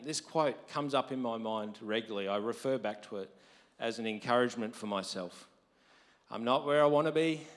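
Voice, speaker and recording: A married man preaching a conversational sermon, his voice deep from lack of sleep, rising to emphatic quotes. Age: adult, married. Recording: long hall reverb.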